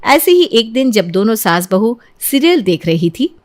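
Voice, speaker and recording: A woman narrator with a fairly high voice tells a scripted tale. Her intonation is lively and moves up and down as she links the scenes. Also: measured pace.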